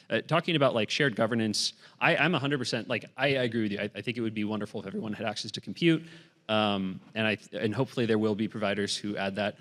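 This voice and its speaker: A man's voice: lowish voice, fairly bright tone. A man on a live panel answering an audience question, casual and thinking aloud with "like" and "you know". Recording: hall with long reverb.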